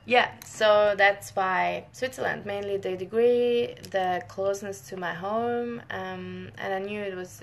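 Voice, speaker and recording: A woman's voice: mid-range voice, creaky, slight background noise. A young woman from northern Italy, a scientist who speaks Italian natively, chatting casually with viewers in a live Q&A and often restarting her sentences. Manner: friendly, chatty, many restarts and short laughs. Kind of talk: casual live-stream chat.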